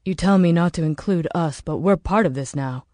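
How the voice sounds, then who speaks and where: Woman voicing a troubled, mellow-toned questioning man. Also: fairly low pitch, normal speed, no echo, clean studio sound, close to an everyday voice. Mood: disbelieving. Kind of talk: audiobook character dialogue.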